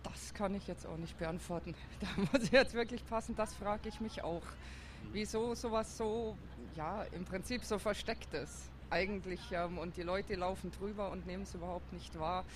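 A woman's voice: mid-range voice, moderate pace, background noise. A woman answering a street interview outdoors, thoughtful and hesitant, with many fillers as she talks about politics. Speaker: interviewee, district councillor. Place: outdoors on a busy city square.

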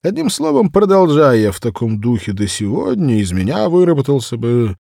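A man narrating a classic story for children as an audiobook, expressive and theatrical, with wry, deliberate phrasing. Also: mid-range voice, creaky, no room sound, studio quality. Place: dry recording studio.

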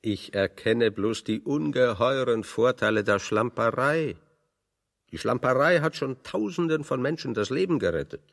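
An ironic pedantic scientist, radio drama, very swoopy intonation, echoing hall sound. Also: male voice, mid-range voice, expressive, moderate pace, gravelly. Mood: wry, anecdotal. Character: wry, pedantic intellectual exile, a man of science. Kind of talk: German radio play dialogue.

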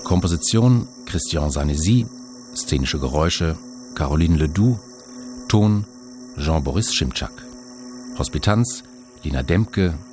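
A man's voice: extremely low pitch, expressive, measured pace, pauses often. A man reading scripted radio drama credits as an announcer. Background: music.

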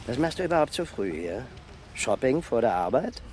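Sardonic male detective with lively delivery acted. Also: mid-range voice, moderate pace, slight background noise, lightly acted. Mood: dry, probing. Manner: teasing, deadpan. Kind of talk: German radio crime drama acting.

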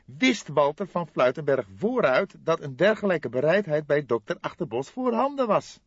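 Excitable high-pitched young detective. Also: male voice, moderate pace, husky, no echo, highs cut off. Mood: wry, earnest. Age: young adult. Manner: impulsive, exclamatory, questioning. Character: excitable young male police detective, eager, suspicious sidekick. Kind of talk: audiobook character dialogue.

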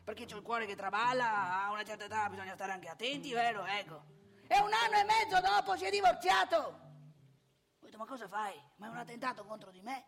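A woman's voice: mid-range voice, steady tone, quick pace, few pauses, fairly bright tone, natural voice. A woman playing a cantankerous, opinionated old married man in a theatrical comic monologue, with a northeastern Italian accent, scolding and haranguing in rhetorical questions. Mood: indignant, exasperated.

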